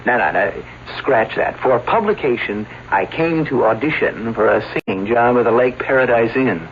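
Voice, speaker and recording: A man's voice: mid-range voice, expressive, moderate pace, close to an everyday voice. An actor playing a persuasive young singer who is courting a married woman, in a radio drama. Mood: coaxing. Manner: coaxing, persuasive. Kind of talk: 1970s radio drama acting. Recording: dull old radio broadcast with faint hiss.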